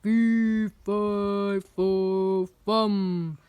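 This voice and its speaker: Huge hungry fairy-tale giant played by a woman: slow, booming, menacing roar. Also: flat delivery, darkish tone, smooth, no room sound. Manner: booming, drawn-out menacing chant.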